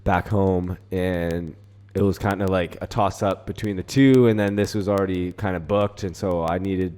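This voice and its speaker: Low-voiced man, animated casual talker. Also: moderate pace, clean tone. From Canada. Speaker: fighter, podcast guest. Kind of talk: casual chat.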